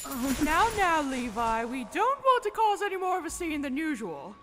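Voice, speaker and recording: A woman's voice: high voice, big pitch swings, normal speed, pushed and bright, soft background music, heavily acted. A woman playing an eccentric army major in an audio drama, teasing and sing-song with a steely side. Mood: mock-polite, resolute. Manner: sing-song, mock-polite, theatrical.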